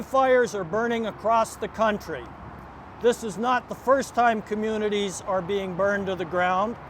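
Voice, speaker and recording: A man reads a formal protest statement aloud outdoors, emphatic and deliberate. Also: high-pitched. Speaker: activist reading an open letter. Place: outdoors at an office-front protest. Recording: outdoor street noise and traffic.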